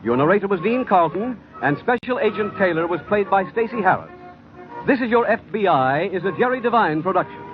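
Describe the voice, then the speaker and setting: An American man working as a polished, formal announcer and sponsor spokesman in 1950s radio style. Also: high voice. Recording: tinny vintage radio broadcast, faint music.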